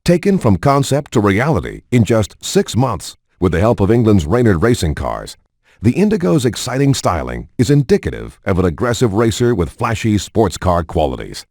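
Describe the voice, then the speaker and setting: A man giving scripted promotional narration for a car showcase in a smooth, polished announcer manner. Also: lowish voice, big pitch swings, normal speed, a little mellow, no room sound, clean studio sound. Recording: game video narration with faint background music.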